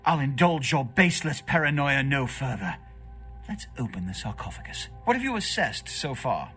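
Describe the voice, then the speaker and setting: An actor playing a haughty, short-tempered vampire prince in a video game, speaking in a formal, theatrical way. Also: male voice, medium pitch, big pitch swings, moderate pace, a bit bright, soft background music. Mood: dismissive.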